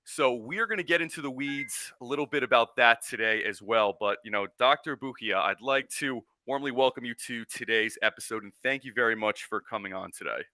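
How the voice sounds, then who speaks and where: A man's voice: medium pitch, brisk, hardly any pauses, bright, forceful tone, no room sound, clean studio sound. A man hosting an interview podcast, talking in an upbeat way with lots of fillers. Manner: upbeat, uses many fillers. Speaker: podcast host. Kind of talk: conversational interview.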